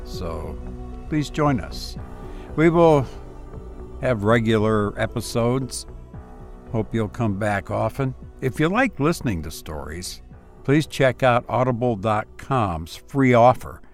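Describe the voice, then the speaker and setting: A man speaking as a podcast host and story reader, with measured, deliberate phrasing, close on a voice-over mic. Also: medium pitch, sing-song, soft background music. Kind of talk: podcast introduction and audiobook-style narration.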